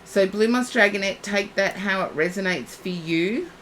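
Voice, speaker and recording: A woman giving a psychic reading on a live stream, soothing and reassuring, her voice going husky and hoarse. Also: mid-range voice, normal speed, fairly bright tone, a little hiss or hum. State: losing her voice.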